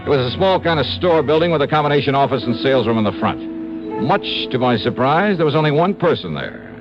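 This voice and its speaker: An actor playing a wisecracking insurance investigator in a 1950s radio drama, narrating in first person with an offhand, breezy manner. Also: male voice, medium pitch, very animated, fast, music in the background. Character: wisecracking male insurance investigator, casual and sure. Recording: dull lo-fi old radio broadcast.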